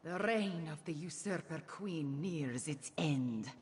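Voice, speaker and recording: An actress playing a proud young cat-folk heir to the throne, solemn and deliberate, in a fantasy video game. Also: female voice, low-pitched, expressive, darkish tone, big-room sound, a little hiss or hum. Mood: solemn, resolute. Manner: solemn, deliberate, regal declarations.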